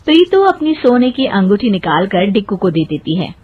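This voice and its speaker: A woman's voice: medium pitch, big pitch swings, moderate pace, narrow, tinny audio, slight background noise. A woman narrating a scripted cartoon story as its storyteller.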